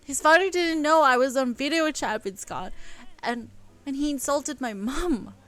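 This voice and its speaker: A woman voicing a heartbroken, tearful young woman who speaks in a choked, halting way. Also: fairly high pitch, fairly bright tone, no room sound. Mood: upset.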